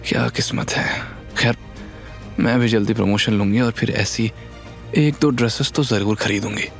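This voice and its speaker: A man's voice: fairly low pitch, normal speed, creaky. A man voicing a sensible, supportive young woman in an audio drama, reasoning expressively over music. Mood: wistful.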